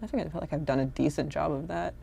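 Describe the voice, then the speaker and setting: A woman's voice: deep voice, steady tone, fast talker, vocal fry, mellow, a little hiss or hum. A woman in casual, reflective conversation, rambling and slipping into quoted asides as she explains herself.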